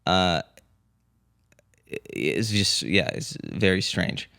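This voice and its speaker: A man with a low voice and plenty of vocal fry tells a story in casual talk. The recording is dry and close. He speaks hesitantly, restarting phrases and repeating words. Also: big pitch swings, takes it slowly, bright, forceful tone, dry recording. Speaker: podcast co-host.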